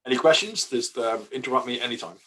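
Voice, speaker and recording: A man's voice: mid-range voice, lively intonation, a bit bright, husky, low-quality recording. Dutch-native man teaching coding online. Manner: casual, halting, many fillers and restarts. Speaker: workshop presenter. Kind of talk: online coding workshop demo.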